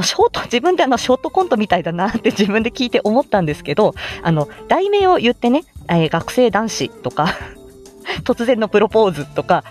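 Animated woman giving a casual how-to talk. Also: fairly high pitch. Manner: encouraging, polite, explains step by step. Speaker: host of a how-to audio show for amateur voice actors. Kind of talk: casual explanatory talk, unscripted.

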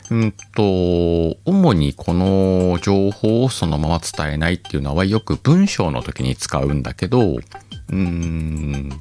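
A very deep-voiced man with a lilt hosts his own radio-style show. His pitch swings widely as he muses aloud, teasing himself. Soft music plays faintly behind him. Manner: rambling, self-mocking, chuckles at himself. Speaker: podcast host. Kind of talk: casual solo radio-style talk.

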